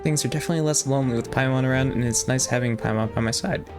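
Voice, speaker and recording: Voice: mid-range voice, racing. A streamer musing aloud in a casual, rambling way while commenting on a game. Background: game music.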